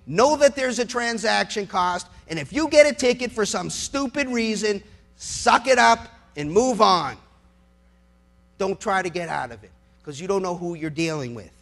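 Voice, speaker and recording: A man's voice: very high voice, vocal fry, bright, forceful tone. A middle-aged man, a lawyer, giving an impassioned political speech in an emphatic, sarcastic way, with rhetorical questions and repeated phrases.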